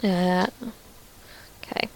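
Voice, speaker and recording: Woman doing a casual screen demo of her own game project, slow talker, kinda rambly, thinking out loud. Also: a little hiss or hum. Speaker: visual novel creator showing own project.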